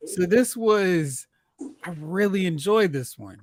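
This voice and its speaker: A man with a slightly high voice and a dry, close sound. He hosts casual movie chat, moving between recap and animated joking commentary. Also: takes it slowly, dry recording. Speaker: host of a movie talk show.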